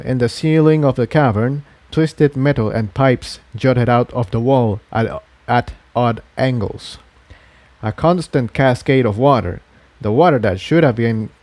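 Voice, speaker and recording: A man's voice: mid-range voice, a little mellow, no echo. A man reading an adventure story aloud as a scripted narrator.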